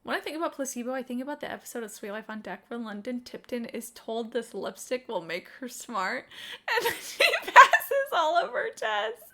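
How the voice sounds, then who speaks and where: A woman live streaming a game, chatting casually with expressive storytelling and casual swearing. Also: fairly high pitch, normal speed, creaky. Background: game music.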